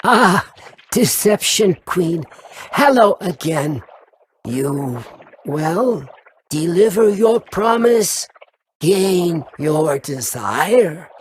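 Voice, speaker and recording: A man's voice: sits high, lively intonation, a crowd in the background, exaggerated voice. A man playing a sly, teasing alien game creature that relishes bargains, drawling theatrically. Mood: sly, mocking. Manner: drawn-out, taunting.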